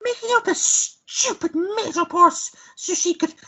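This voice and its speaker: A woman playing a jealous, sharp-tongued lover in a radio play, indignant and melodramatic. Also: very high voice, unhurried, exaggerated voice. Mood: wounded, tearful.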